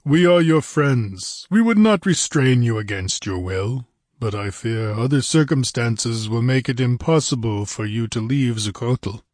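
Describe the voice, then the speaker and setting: A man voicing a big, guarded prince in a fantasy audiobook, with measured, courtly, rumbling delivery. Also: lively intonation, darkish tone, dry recording, clean studio sound. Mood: smooth, guarded. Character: big, smooth-talking, guarded male prince. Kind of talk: audiobook fantasy adventure character.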